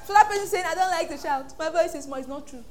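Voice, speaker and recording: Nigerian woman, pastor, sermon, animated, acts out voices, high voice. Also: quick pace.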